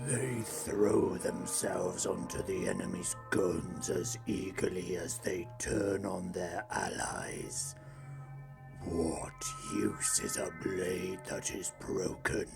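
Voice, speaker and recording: A man playing a grim, bitter undead plague marine veteran in an audio drama, brooding and contemptuous in a rasping, menacing theatrical whisper. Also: deep voice, full character voice. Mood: bitter contempt. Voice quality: gravelly, breathy rasping whisper. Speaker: voice actor. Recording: music under the voice. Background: music.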